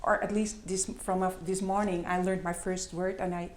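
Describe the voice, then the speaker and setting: A woman with a Dutch accent speaks to a conference audience, her voice sitting fairly low and echoing through a large room. She pauses often and leans on fillers as she goes. She talks through her slides in plain, simple terms. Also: level tone, darkish tone. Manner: hesitant, many fillers, self-deprecating. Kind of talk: presentation with slides. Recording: echoey hall.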